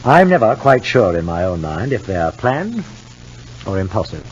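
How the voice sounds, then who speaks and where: A man's voice: fairly low pitch, big pitch swings, moderate pace. An actor playing a cool, condescending husband and father in a 1950s radio soap, formal and clipped. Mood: disdainful disbelief. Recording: muffled, noisy old radio recording.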